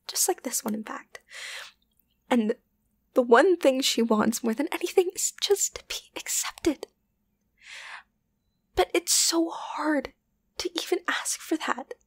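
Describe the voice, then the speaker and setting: A woman voicing an obsessive, lovesick young yandere best friend in an ASMR roleplay, halting and intimate, often slipping into a whisper. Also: high voice, expressive, dry recording, full character voice. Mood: fragile, pleading. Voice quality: breathy, often dropping to a whisper. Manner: halting, intimate, wavering between tender and agitated. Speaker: voice actor.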